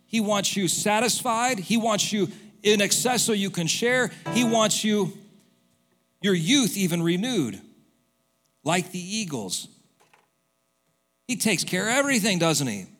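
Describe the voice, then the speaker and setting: A man's voice: sits high, fairly bright tone. A man preaching a sermon as a pastor, in an emphatic, repetitive, conversational way with rhetorical questions. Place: large hall, church service. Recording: echoey hall reverb with music behind.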